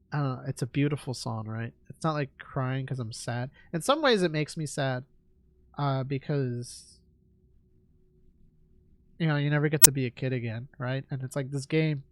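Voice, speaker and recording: A young man streaming a game, talking in a halting, reflective way with lots of fillers, his nose stuffed up from congestion. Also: medium pitch. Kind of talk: casual rambling stream chat. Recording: game music loud behind voice. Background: game music.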